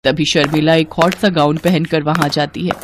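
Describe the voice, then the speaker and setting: A woman's voice: low voice, fairly even intonation, moderate pace, slight background noise. A woman storyteller reading a scripted narration of a moral tale.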